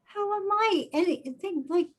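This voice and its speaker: Woman playing a high-pitched, pleading loyal friend. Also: a little slow. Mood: frightened, pleading. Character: terrified but loyal friend held hostage. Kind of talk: dramatic table read.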